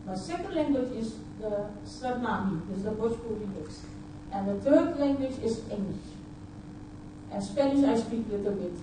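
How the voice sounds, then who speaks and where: A woman whose first language is Dutch, from Suriname, giving a halting personal talk at a conference, often repeating words and filling pauses with uh. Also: on the high side, expressive, noisy background. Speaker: conference speaker. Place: large conference hall. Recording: echoey hall, voice picked up from far off.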